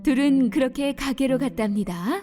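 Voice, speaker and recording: A woman's voice: high voice, normal speed, warm tone. A woman narrating a dubbed children's fairy-tale cartoon in a storytelling manner, with music behind her.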